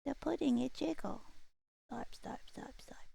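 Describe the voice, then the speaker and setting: A woman's voice: high voice, measured pace, gravelly, warm tone, slightly rough, whispered. A woman livestreaming a game, chatting casually in a playful, silly manner. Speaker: streamer. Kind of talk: casual livestream chat while gaming.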